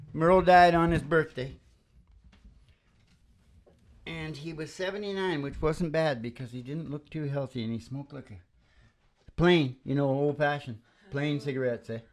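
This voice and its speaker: A man hosting a homemade radio show in casual, rambling banter, with halting, folksy asides. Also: a little high. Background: acoustic guitar playing.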